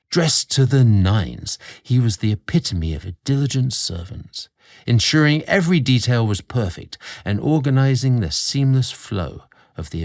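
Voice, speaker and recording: A man narrating a scripted romantic story in a measured, expressive storybook manner. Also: mid-range voice.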